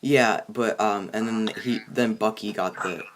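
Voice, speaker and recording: A man with a fairly low voice hosts a casual movie review conversation. He speaks in a halting way, breaking off mid-sentence and starting again as he works out his opinions. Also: steady tone, a little hiss or hum. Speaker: host of a movie review show. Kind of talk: casual chat, film review.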